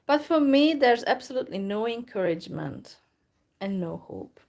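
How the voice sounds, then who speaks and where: A woman from Hungary with a Hungarian accent, talking in a live video monologue in a downcast, resigned way, full of hesitant pauses. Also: a little high, animated, creaky, a little mellow. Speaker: YouTuber.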